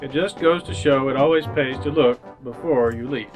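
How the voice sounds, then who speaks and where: A man's voice: mid-range voice, moderate pace, poor audio. Announcer: male, mid-range voice, old-time radio script read, prominent music underneath. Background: brass music.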